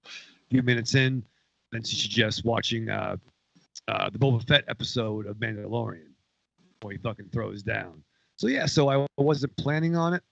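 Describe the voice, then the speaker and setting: A man's voice: fairly low pitch, creaky, fairly bright tone, no room sound, lo-fi sound. A man in his forties co-hosting a podcast, telling a rambling story in casual chat and swearing a lot. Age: 40s. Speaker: podcast co-host.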